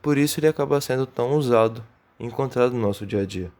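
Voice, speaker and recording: A man's voice: mid-range voice, fast talker, a little mellow, lightly acted. A man playing an eager, studious Brazilian student in an amateur radio drama, explaining things earnestly.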